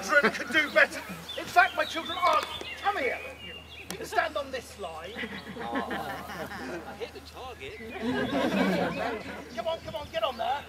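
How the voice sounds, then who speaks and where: A man presenting a longbow display at an outdoor medieval fair, shouting drill commands and teasing the crowd, recorded from a camera some way off. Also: extremely high pitch, lively intonation, normal speed, long pauses. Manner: loud commanding drill calls, teasing the audience. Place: open field at a medieval fair. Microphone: far camera, no mic.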